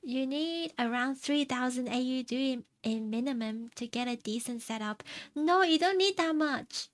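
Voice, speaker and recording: A young woman from China chatting casually on stream, answering her chat in a soft, rambling way and laughing often. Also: high voice, moderate pace, no room sound. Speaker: VTuber streamer. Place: home streaming setup.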